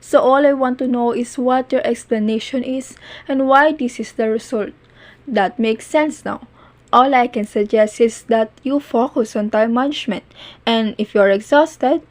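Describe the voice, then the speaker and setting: A woman voicing a concerned, kindly schoolteacher in an amateur animated cartoon. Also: on the high side, measured pace, close to an everyday voice. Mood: kindly, advising. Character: concerned, kindly female schoolteacher advising a student.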